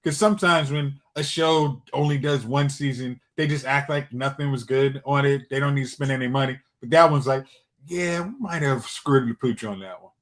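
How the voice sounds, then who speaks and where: A man's voice: mid-range voice, normal speed. A man co-hosting a podcast, chatting casually about TV shows in a conversational way. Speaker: podcast co-host.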